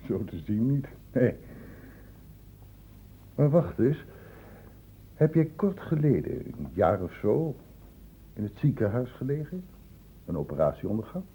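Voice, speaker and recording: A man playing a shrewd senior doctor in a Dutch radio play, brisk and probing, with a condescendingly paternal authority. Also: mid-range voice, sing-song, dark tone, slightly rough, full character voice. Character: shrewd senior male doctor questioning a student, brisk, fatherly. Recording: thin phone-like old radio recording, hissy.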